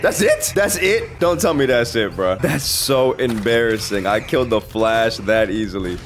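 A man's voice: medium pitch, expressive, normal speed, a little hiss or hum. A young man giving casual, slangy gameplay commentary with lots of swearing. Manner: slangy, swearing, laid-back reactions. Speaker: gaming streamer.

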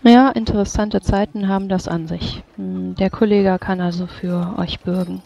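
A man voicing a formal, skeptical female mage interrogator in a tabletop game, questioning in measured words. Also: high voice, steady tone, vocal fry, mellow, pitched above the performer's own voice. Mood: probing. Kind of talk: tabletop roleplay in character.

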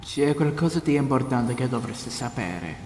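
A man's voice: mid-range voice, lively intonation, moderate pace, darkish tone, slight background noise, close to an everyday voice. An actor playing a weary, secretly murderous space crewman in a cartoon monologue. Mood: weary, resigned. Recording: echoey, distant audio under music.

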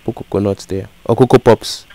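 A man's voice: lowish voice, steady tone, close to an everyday voice. A man voicing a bumbling, persistent uncle on a comic prank call, repeating himself and misunderstanding things. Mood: obtuse, insistent. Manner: repetitive, slow on the uptake, misunderstands on purpose. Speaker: prank caller. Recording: noisy prank call recording.

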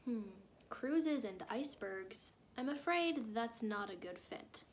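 A woman voicing a tall, distinguished, kindly snowman mayor in a storybook, with a booming, oratorical delivery. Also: expressive, takes it slowly, slight background noise. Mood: earnest.

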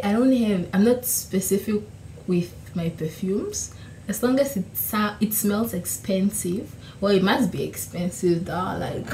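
A young woman from Namibia, whose first language is Oshiwambo, answering questions in a casual, chatty vlog, laughing and correcting herself. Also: on the high side. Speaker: YouTuber. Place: small room at home, indoors. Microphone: camera in front, seated. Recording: echoey room with faint hiss.

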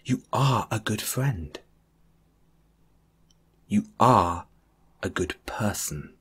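A man with a very breathy, husky voice speaks slowly and gently, as in a comfort audio roleplay. His mid-pitched voice rises and falls expressively, slipping toward a whisper, intimate and reassuring throughout. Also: expressive. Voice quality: breathy, hushed, at times whispering.

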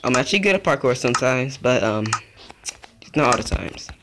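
Young dude chatting thru his game, mid pitch voice, gets excited fast, bit of hiss. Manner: chatty, rambling, easily excited. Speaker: gaming youtuber. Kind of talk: casual game commentary.

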